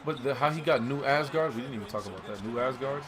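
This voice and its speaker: Male, medium pitch, casual gaming chat, emphatic slang and cursing, clear crowd and basketball noise behind. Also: fast talker. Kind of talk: casual chat about a film while gaming. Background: crowd noise and basketball bouncing from a game.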